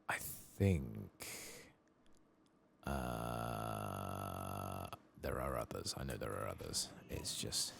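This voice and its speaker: A British man with an extremely low voice, thick with creak and fry, speaks softly as he plays a game in ASMR style. He rambles in a low-key, musing way, close to the mic. A faint noise sits behind him. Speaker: gaming streamer, ASMR host. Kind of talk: soft-spoken ASMR game commentary, partly whispered.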